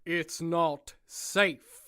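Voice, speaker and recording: A smug, menacing prison warden. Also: male voice, on the high side, fairly even intonation, fairly bright tone. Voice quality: smooth, condescending, slow and deliberate. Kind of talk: audio drama acting.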